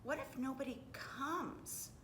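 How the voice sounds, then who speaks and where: A woman's voice: sits high, slow pace, pushed and bright, roomy sound, a little hiss or hum, voice pushed up, heavily acted. A woman voicing an anxious young storybook girl who asks worried questions.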